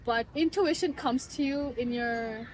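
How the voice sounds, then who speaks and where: High-voiced young woman, earnest and reflective. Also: measured pace, darkish tone, recorded from a distance, slight background noise, low-quality recording. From Bangladesh. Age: 20s. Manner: reflective, earnest, laughs easily. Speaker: client giving a testimonial. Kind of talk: casual interview.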